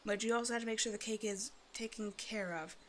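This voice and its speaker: A woman's voice: fairly high pitch, fairly even intonation, quick pace, a little hiss or hum, natural voice. A woman playing a predatory female naga in an ASMR roleplay, talking to the listener with teasing, condescending, casual menace. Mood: condescending, dismissive. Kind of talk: ASMR roleplay, one-sided scripted dialogue to the listener.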